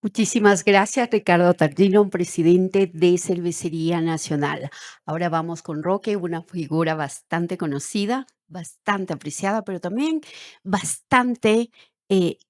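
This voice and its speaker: A woman moderates a panel in a formal, emphatic manner. Her voice is on the lower side and is recorded close and dry, with little room sound. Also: a little slow, darkish tone, dry recording. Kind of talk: formal moderating, commentary between speakers.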